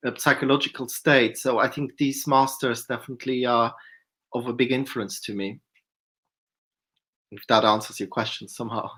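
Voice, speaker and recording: Rambling filmmaker, mid-pitched voice. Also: a bit bright. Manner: searching, rambling, restarts. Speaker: filmmaker guest. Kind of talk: festival Q&A answers.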